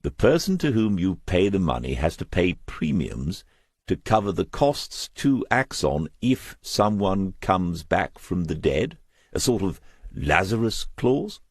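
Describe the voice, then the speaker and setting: A man's voice: sits low, very animated, measured pace, no room sound, pitched above the performer's own voice, full character voice. A man voicing a shrewd retired detective in a crime audiobook, with a dry, understated, probing manner. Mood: wry.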